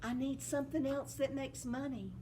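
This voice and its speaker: A woman's voice: high-pitched, fairly even intonation, normal speed, dark tone, slight background noise, pitched above the performer's own voice, close to an everyday voice. A woman voicing an eager, earnest young boy who speaks plainly and with determination.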